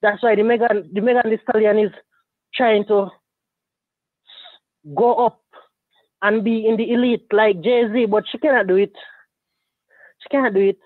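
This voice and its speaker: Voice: medium pitch, fairly even intonation, moderate pace, pauses often, dry recording. Blunt, rambling caller, tinny phone-line sound, dry. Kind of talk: phoned-in opinion.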